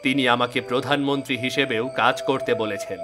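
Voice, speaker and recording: A loyal, burdened guard of a fairy kingdom played by a man speaks with solemn earnestness. His pitch is middling and his phrasing is formal, in cartoon fairy-tale style. Music plays clearly behind him. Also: fairly even intonation, moderate pace, lightly acted. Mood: earnest, resolute.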